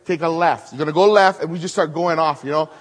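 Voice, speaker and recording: A man from Hawaii preaching at a conference, building to loud emphatic peaks and dropping to hushed asides. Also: quick pace, in a large hall. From Hawaii (Maui). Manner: emphatic, swings between loud rising build-ups and hushed low asides. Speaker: guest preacher. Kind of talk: conference sermon with storytelling.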